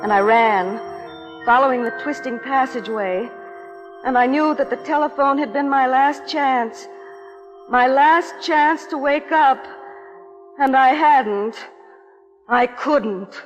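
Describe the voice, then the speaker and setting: A woman's voice: sits high, slow, pauses often, lo-fi sound. Anxious young woman in echoing nightmare. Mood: haunted, dread. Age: young adult. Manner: dramatic, dreamy first-person narration. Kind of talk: 1950s suspense radio drama acting. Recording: 1950s radio broadcast, long reverb, music behind.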